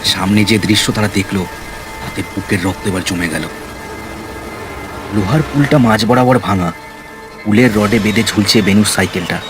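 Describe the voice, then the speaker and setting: A man's voice: lowish voice, normal speed, pauses often, music in the background. A man narrating a scripted horror story in a suspenseful, dramatic way. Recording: heavy rain and storm noise behind. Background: rain, storm effects.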